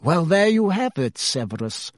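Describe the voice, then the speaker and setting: A serene old headmaster, quiet and unhurried, placing each word with calm authority, as a male reader acts him out. The sound is dry and close to the mic. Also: a little high, dry recording, pitched above the performer's own voice.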